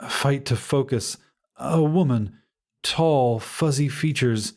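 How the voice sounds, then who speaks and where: A man narrating a scripted first-person story in a measured, dramatic way with deliberate pauses. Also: mid-range voice. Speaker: audiobook narrator.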